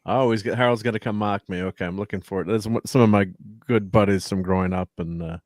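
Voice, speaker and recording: Low-voiced laughing male podcast host. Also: steady tone, quick pace, darkish tone, no room sound, clean studio sound. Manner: laughs often, chatty and easygoing. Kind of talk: casual chat on a livestream.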